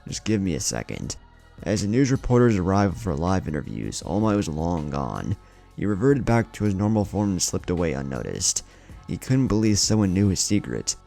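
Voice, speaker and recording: Deep-voiced man narrating, with gravelly creak. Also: very animated, normal speed, dark tone, soft background music. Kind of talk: scripted fan fiction narration.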